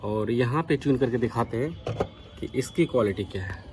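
A man's voice: mid-range voice, fairly quick, background noise. A man giving a casual live demonstration as a vlogger. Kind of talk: casual live demo commentary.

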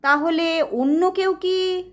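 A woman's voice: very high-pitched, steady tone, takes it slowly, pitched above the performer's own voice. A woman voicing an easily irritated male hotel guest in an audio story, insistent and questioning. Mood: angry, suspicious.

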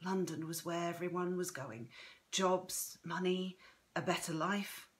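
A woman voicing an elderly Irish grandmother in an audiobook, guarded, gentle and reflective, with an Irish accent. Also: on the low side, steady tone, a little slow, close to an everyday voice.

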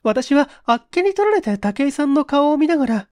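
Woman acting a high-voiced, hesitant polite young lady. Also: big pitch swings, brisk, warm tone, dry recording, studio quality. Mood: earnest recounting. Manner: formal, deferential, hesitant speech. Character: distressed, hesitant, polite young office-working woman confessing a secret. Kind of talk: dramatized audiobook reading.

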